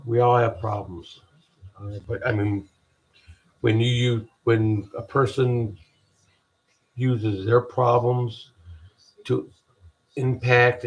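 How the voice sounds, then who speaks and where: A deep-voiced man from the United States hosting a podcast, giving a halting, rambling spiritual pep talk full of restarts. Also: poor audio. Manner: halting, restarts often, repeats "people". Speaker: podcast host.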